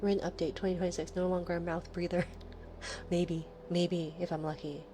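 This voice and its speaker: A woman with a nasal, lowish voice chats with her stream audience close to the mic, now and then dropping to a soft breathy whisper. A steady hiss sits under the recording. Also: moderate pace. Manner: soft, drifting into breathy whispers between chatty asides. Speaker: livestream host. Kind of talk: casual late-night stream chat with soft whispering. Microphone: streaming microphone close up.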